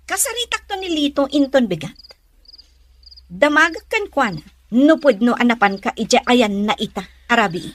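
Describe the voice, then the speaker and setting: Woman acting jealous young partner, high bright voice, swooping pitch, nagging, possessive, radio drama. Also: very animated, normal speed. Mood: jealous, scolding. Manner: sharp, nagging.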